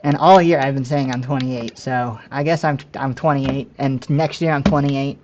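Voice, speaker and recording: A man in his late twenties talks with his stream viewers in a loose, rambling way, often stopping to correct himself. His voice sits at an ordinary middle pitch. The audio is slightly dull and lo-fi. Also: steady tone, moderate pace, darkish tone, a little hiss or hum. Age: 20s. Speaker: game streamer. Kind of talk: casual live-stream chat with viewers.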